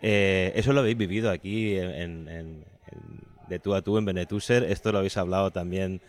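A low-pitched man with an accent from Spain conducts a radio interview in a conversational way. He gropes for his wording, often doubling back and repeating words. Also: steady tone. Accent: Spanish from Spain. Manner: halting, searching for words, repeating himself.

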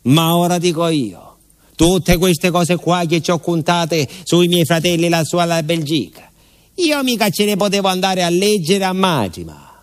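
An actor playing a naive, talkative village postman with a southern Italian accent, telling his story in a confiding, halting radio monologue. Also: sits high, close to an everyday voice. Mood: animated, confiding. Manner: folksy, confiding, with hesitations and repetitions.

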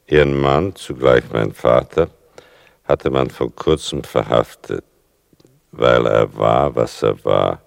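An older man acting in a radio play as a writer son who narrates his mother's story, wry and deliberate, dwelling on small details, with a gravelly voice. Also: a bass voice, fairly even intonation, dry recording, studio quality, heavily acted. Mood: sombre, ironic.

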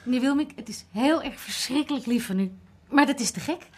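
Forthright woman, high-pitched voice. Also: lively intonation, fairly quick, a little mellow, a little hiss or hum. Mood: cheerful. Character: forthright, sensible, encouraging female companion of the researcher. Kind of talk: radio drama acting.

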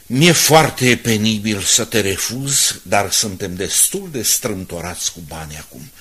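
An actor playing an apologetic, cautious bank manager in a radio drama, friendly but evasive, with a husky voice and hesitant, repetitive phrasing. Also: male voice, on the low side, expressive, fairly bright tone. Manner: hesitant, repeating himself. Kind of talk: Romanian radio drama acting.

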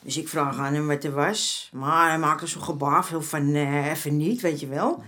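Older streetwise auntie type, low voice, blunt and motherly, radio play. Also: normal speed, fairly bright tone. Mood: familiar, at ease. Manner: familiar, blunt, calls men "jongen". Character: streetwise, motherly older woman of the red-light district, frank. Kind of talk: naturalistic Dutch radio drama acting.

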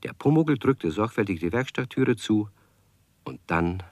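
A man's voice: on the low side, expressive, a little slow, very noisy. A man narrating a children's radio play as a storyteller, in scripted storybook style. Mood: measured storytelling. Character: male storyteller of a children's kobold tale.

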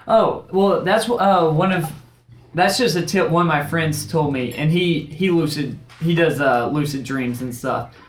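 Young Kentucky man co-hosting a podcast, rambling and halting, slightly high voice, echoey room. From Kentucky, USA. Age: young adult. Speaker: podcast co-host. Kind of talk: casual chat.

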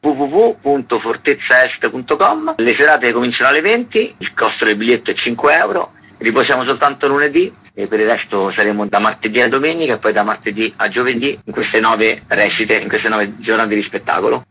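A man's voice: medium pitch, brisk, few pauses, sharp tone, husky, rough recording. A man speaking conversationally as a theatre festival co-director in a radio interview.

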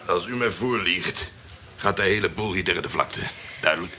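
An actor playing a gruff, domineering army captain who gives curt commands in a Dutch historical radio play. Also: male voice, low-pitched, moderate pace, bright, forceful tone. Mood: commanding. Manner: curt, commanding, barking orders.

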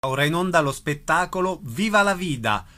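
A man's voice: fairly high pitch, lively intonation, moderate pace, pushed and bright. A man working as a radio announcer, reading a scripted programme introduction.

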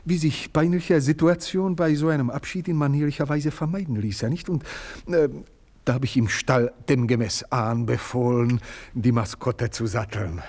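A man's voice: medium pitch, normal speed, a little mellow, natural voice. An actor playing a fussy, servile male servant who stammers and talks with stiff, overly formal deference, in a radio play.

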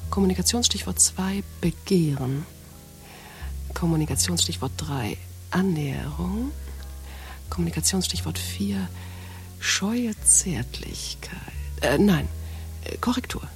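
An actress playing a shy young woman who dictates her romantic wishes, hushed and hesitant, correcting herself. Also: fairly low pitch, expressive, takes it slowly, pauses often, faint music behind. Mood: dictating. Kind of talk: radio play acting.

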